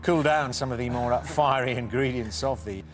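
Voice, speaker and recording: A middle-aged man hosting a TV quiz in a studio, reading questions briskly and teasing the contestants. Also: mid-range voice, a little hiss or hum. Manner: brisk, teasing, reads multiple-choice questions. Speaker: quiz show host.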